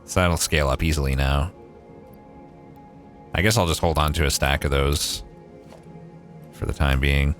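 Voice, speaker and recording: A man's voice: rumbling low, steady tone, moderate pace, pauses often, fairly bright tone, soft background music. A young Canadian man with a Maritime accent, thinking aloud and swearing casually as he streams a game. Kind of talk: casual gameplay livestream chat.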